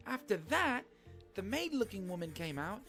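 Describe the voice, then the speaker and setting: A woman's voice: mid-range voice, very animated, normal speed, a bit bright, faint music behind. A woman voicing a timid, easily flustered young cartoon pony with a put-on British accent and polite, theatrical manners. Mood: cautious.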